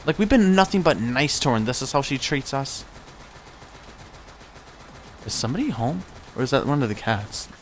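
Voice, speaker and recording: A man's voice: medium pitch, lively intonation, normal speed, long pauses, a little hiss or hum. A young man giving rambling, casual commentary while streaming a game at home, his voice worn out from a long session. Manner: chatty, rambling, self-correcting. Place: home room.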